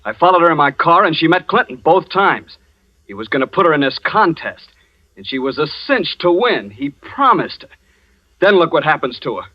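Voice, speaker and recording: A jealous hothead tough. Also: male voice, a little high, big pitch swings, normal speed. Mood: threatening. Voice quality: gruff, slangy, threatening. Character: jealous, hot-tempered working-class young man. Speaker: radio drama actor. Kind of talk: 1940s radio acting. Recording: lo-fi vintage radio recording.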